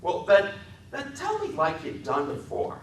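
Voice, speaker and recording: A man voicing a big, simple, childlike man, eager and pleading, with halting folksy speech, in a dramatic reading. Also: sits high, wildly swooping pitch, pitched above the performer's own voice, exaggerated voice. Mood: happy, eager. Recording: roomy echoing hall, distant mic, faint noise.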